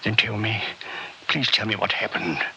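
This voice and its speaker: An actor playing an agitated, imperious colonial governor in a 1960s radio drama, speaking with urgency. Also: male voice, fairly low pitch, fairly even intonation, moderate pace, vocal fry, sharp tone, lo-fi sound, exaggerated voice. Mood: urgent, concerned. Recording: noisy old radio recording.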